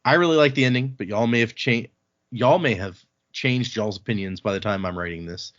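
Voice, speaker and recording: A man's voice: on the low side, fairly quick, a bit bright, highs cut off. A man from Texas hosting a podcast, chatting casually and reading out listener mail. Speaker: podcast host.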